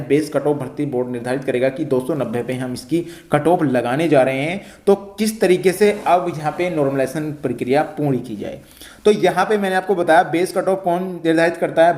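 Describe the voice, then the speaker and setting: A man's voice: on the high side, a little mellow. A man teaching exam students, explaining step by step and repeating himself as he goes. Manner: repetitive, step-by-step explaining, often addressing listeners as children. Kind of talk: explanatory lesson addressed to students. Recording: echoey, roomy sound.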